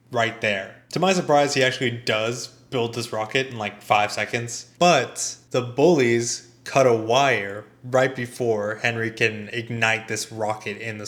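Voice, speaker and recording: A man's voice: mid-range voice, a bit bright. A man delivering a scripted, comedic movie review with a sarcastic manner. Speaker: video essayist and film reviewer.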